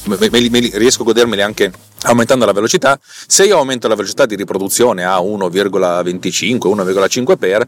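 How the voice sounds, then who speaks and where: Guy podcasting, lowish voice, rambly tech explainer, keeps restarting and fixing what he says. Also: slight background noise. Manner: rambling, halting, self-correcting, repeating words. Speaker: podcast host. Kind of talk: casual unscripted technical explanation. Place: inside a car.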